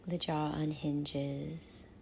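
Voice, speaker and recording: A woman leading a guided meditation in a soft, half-whispered, soothing voice, with measured phrases and long pauses. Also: sits low, little pitch movement, gravelly, slight background noise.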